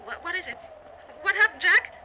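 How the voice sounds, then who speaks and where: An actress playing an anxious wife who pleads haltingly with her husband in an old-time radio drama. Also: extremely high pitch, lively intonation, dull audio. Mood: anxious, pleading. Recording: noisy old radio recording.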